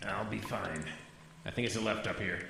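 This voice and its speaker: A man's voice: lowish voice, moderate pace, creaky, noisy background. An actor playing a tough, determined armed lawman in a radio drama, speaking in a terse, commanding, no-nonsense way. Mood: gruff, determined. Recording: very echoey space, loud music bed.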